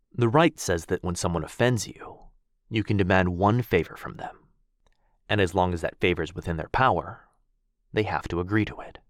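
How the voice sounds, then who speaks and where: Man playing a very deep-voiced gentle vampire lord. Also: dry recording, studio quality. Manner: soft-spoken, formal, tender, with hushed breathy dips. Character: gentle, earnest aristocratic vampire lord, protective and melancholy. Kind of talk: intimate boyfriend ASMR roleplay.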